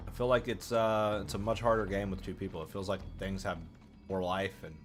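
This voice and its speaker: A man's voice: brisk, faint music behind. A young man streaming a video game, chatting casually and joking with a friend. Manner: animated, joking, jumps to high yelps. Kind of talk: casual gameplay chat.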